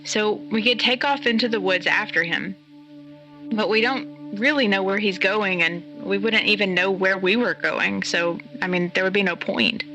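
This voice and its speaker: A woman's voice: medium pitch, normal speed, bright, forceful tone, soft background music, rough recording. A woman playing a pragmatic, skeptical character in an audio drama, blunt and sardonic in a naturalistic way. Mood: exasperated, practical.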